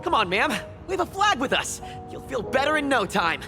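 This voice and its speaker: Overeager, high, lilting townswoman. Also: big pitch swings, brisk. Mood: eager. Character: overeager, cheery townswoman pushing happiness. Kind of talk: video game voice acting. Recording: long reverb under loud music.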